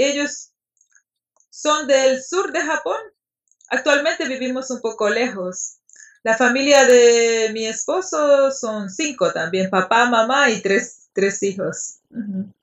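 A middle-aged woman with a Salvadoran accent, a language teacher answering interview questions hesitantly with soft pauses. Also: high voice. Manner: hesitant, laughs softly between answers. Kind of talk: conversational interview. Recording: dull, lo-fi audio.

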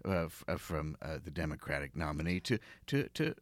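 A man from outside Philadelphia hosting a podcast interview, speaking in a slow, soothing, deliberate way. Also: extremely low pitch, animated, dry recording. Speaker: podcast host.

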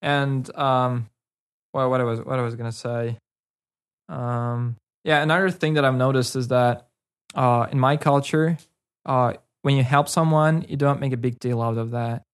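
A man's voice: mid-range voice, steady tone, normal speed, smooth. A man, a guest in a casual interview, talking hesitantly with many fillers and restarts. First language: Karachay.

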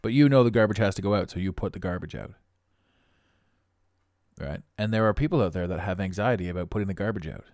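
A middle-aged man with a raspy voice, hosting a podcast with a blunt, preachy motivational monologue. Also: low-pitched, animated, fast, pauses often, a little mellow, dry recording, studio quality. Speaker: podcast host.

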